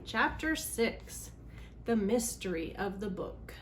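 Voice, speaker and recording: A woman's voice: medium pitch, lively intonation, slow, a little hiss or hum, rough recording. A woman hosting a children's read-aloud, reading a storybook expressively with dramatic emphasis.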